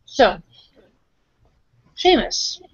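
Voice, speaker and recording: A woman's voice: drawn-out words, no echo. A woman playing a tabletop roleplaying game, talking casually in character and in asides. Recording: dry but dull, lo-fi microphone.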